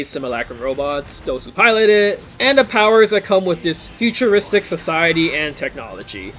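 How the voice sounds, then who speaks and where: A man's voice: high voice, fairly bright tone. A young adult man reading a scripted but casual video game review, with wry, informal asides. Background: background music.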